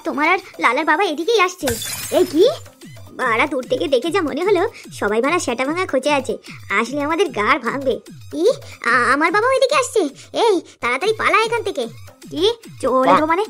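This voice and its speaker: A man's voice: extremely high pitch, sharp tone, exaggerated voice. A man voicing a rowdy, cocky village youth in a comic cartoon, with crude, slangy banter.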